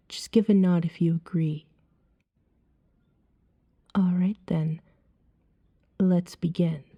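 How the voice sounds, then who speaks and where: A seductive female vampire, played by a woman, speaks in long, washy reverb. Her voice is soft, mellow and breathy, often close to a whisper. She tells her story very slowly, coaxing and hypnotic, in an ASMR roleplay. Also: on the low side, steady tone, dull audio, full character voice. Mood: coaxing, teasing. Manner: slow, soothing, hypnotic second-person narration.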